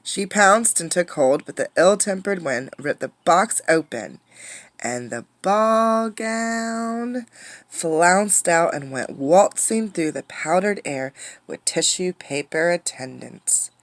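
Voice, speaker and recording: Female teacher for picture-book narration: lowish pitch, dry close sound, expressive, questioning. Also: no room sound. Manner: expressive, pausing to ask children questions. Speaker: teacher reading aloud. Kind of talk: interactive storybook read-aloud.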